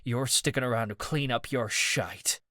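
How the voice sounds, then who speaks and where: A man's voice: medium pitch, moderate pace, sharp tone, dry recording, clean studio sound, natural voice. A man voicing a volatile young man who growls threats in rage. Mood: threatening.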